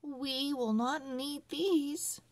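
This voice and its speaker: A woman voicing a practical, reassuring mother in a Christmas storybook, with measured, careful phrasing. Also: sits high, pitched above the performer's own voice.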